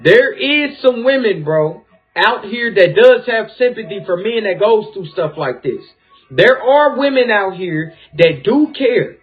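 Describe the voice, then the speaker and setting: A man's voice: high-pitched, expressive, measured pace. A man in his thirties hosting a chat and speaking to his guest in an encouraging, affirming way. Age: 30s. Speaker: host. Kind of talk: supportive casual chat. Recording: tinny phone-like audio with faint music.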